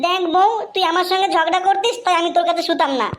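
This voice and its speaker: A woman's voice: very high-pitched, flat delivery, quick pace. A woman voicing a crude, bossy, lecherous husband in a cartoon, blunt and teasing.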